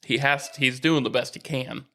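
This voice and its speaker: A man's voice: medium pitch, fairly even intonation, moderate pace, pushed and bright. A man co-hosting a sports podcast in casual conversation, slangy, with a dry, wry manner.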